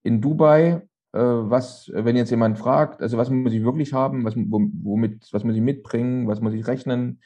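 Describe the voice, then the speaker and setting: A man's voice: medium pitch, steady tone, normal speed, hardly any pauses, warm tone. A man hosting a podcast interview, asking long rambling questions with restarts. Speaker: podcast host.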